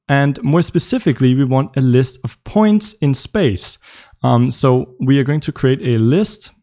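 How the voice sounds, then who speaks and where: A young man with a Danish accent walks viewers through building a game live. His voice sits in a middle pitch. He speaks casually, thinking aloud with plenty of ums and uhs. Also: mellow. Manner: casual, explaining while coding, frequent ums and uhs. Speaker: tutorial host. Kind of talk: live coding tutorial.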